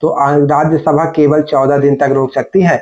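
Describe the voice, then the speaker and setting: Higher-pitched man teaching, muffled sound. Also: flat delivery, normal speed, a little mellow. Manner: instructional, repeats questions and answers, rhetorical prompts. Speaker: exam coaching teacher. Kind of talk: question-and-answer exam paper walkthrough. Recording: dull lo-fi microphone sound.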